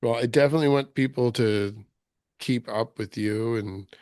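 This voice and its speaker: A man's voice: medium pitch, moderate pace, darkish tone, dry recording, clean studio sound. A man hosting an interview over a call, asking loose, rambling questions in a conversational way. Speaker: podcast host. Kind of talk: casual interview. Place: remote call.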